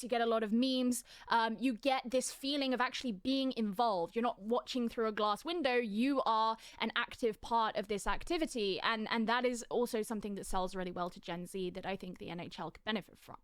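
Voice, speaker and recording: A woman from the UK, narrating an analytical video essay in an articulate, measured way. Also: on the high side, clean tone, no room sound, studio quality. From United Kingdom. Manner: articulate and measured, with occasional fillers.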